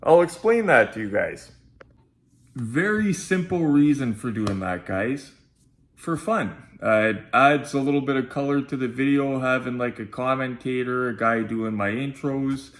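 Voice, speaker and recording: A man's voice: mid-range voice, animated, a little slow. A man with a nasal voice, chatting informally to viewers as he hosts a casual unboxing vlog. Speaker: video host and collectibles unboxer. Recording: roomy, echoey sound.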